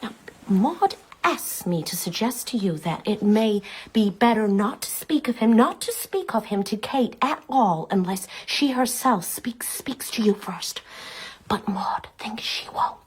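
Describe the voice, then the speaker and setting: A woman's voice: fairly high pitch, lively intonation, measured pace, breathy edge, rough recording. An actress playing an anxious, protective middle-aged lady companion in a period radio drama, speaking hesitantly and carefully. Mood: discreet, uneasy. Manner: hesitant, careful, repeating herself.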